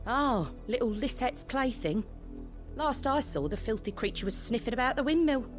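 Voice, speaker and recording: A woman playing a sharp-tongued, disdainful village woman in a video game, curt and dismissive. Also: on the high side, animated, normal speed, lightly acted. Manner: haughty, dismissive. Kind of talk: video game cutscene acting. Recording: music under the voice.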